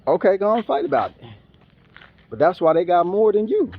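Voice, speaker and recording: A man's voice: high voice, big pitch swings, brisk, breathy edge, muffled recording. A man giving a rambling casual monologue outdoors, full of street slang and asides. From Philippines heritage, raised elsewhere. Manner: rambling, streetwise slang, talks back to animals. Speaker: vlogger.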